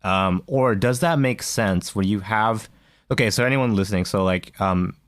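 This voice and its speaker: A man's voice: lowish voice, normal speed, no echo, studio quality. A man co-hosting a podcast in casual chat, thinking aloud with many fillers and self-corrections. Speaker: podcast co-host.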